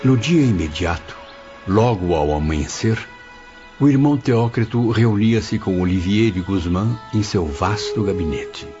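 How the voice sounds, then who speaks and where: A sorrowful male spirit played by a man recounts his memories in a deep voice. The manner is solemn and literary, over prominent music, in a somewhat dull audiobook recording. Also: animated, a little slow. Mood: solemn recollection. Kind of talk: dramatised audiobook narration.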